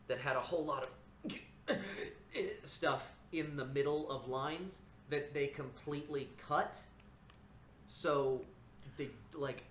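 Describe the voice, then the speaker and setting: A man's voice: medium pitch, a little hiss or hum. A man voice actor hosting a casual livestream chat, easygoing and laughing often as he thinks aloud. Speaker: voice actor and stream host. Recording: roomy echo, faint ambience.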